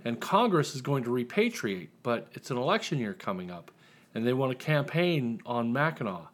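A man's voice: mid-range voice, sing-song, moderate pace. A man chatting casually as an author guest in an interview, telling his story in a wry, dry way.

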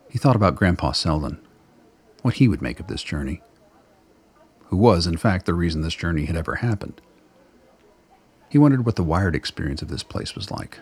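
Deep, gravelly male narrator. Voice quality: gravelly, husky. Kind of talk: dramatic scripted storytelling.

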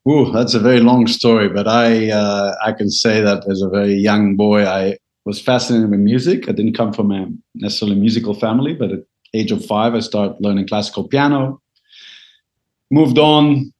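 A man's voice: on the low side, fairly even intonation, normal speed, darkish tone. A man, a Hebrew speaker, answering interview questions in a reflective way with frequent hesitations. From Israel. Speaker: guest composer.

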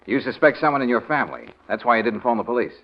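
Fast-talking American detective played by an actor. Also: male voice, medium pitch, expressive, lightly acted. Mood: probing. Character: confident, brisk male private troubleshooter, eager for excitement. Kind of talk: 1940s radio acting. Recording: muffled, noisy 1940s radio recording.

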